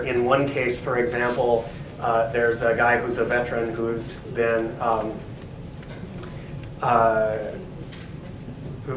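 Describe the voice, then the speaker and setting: Distant, echoey male professor talk. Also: medium pitch. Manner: thoughtful, hesitant, many fillers. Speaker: professor, co-editor. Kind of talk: conversational academic talk. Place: library event room. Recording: echoey room, distant mic, background noise.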